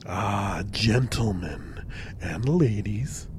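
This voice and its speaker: Very slow, theatrical vampire. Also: male voice, lowish voice, animated, slight background noise. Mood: mocking relish. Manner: theatrical, drawn-out delivery. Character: mocking, hungry, theatrical vampire minion. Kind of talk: radio drama acting.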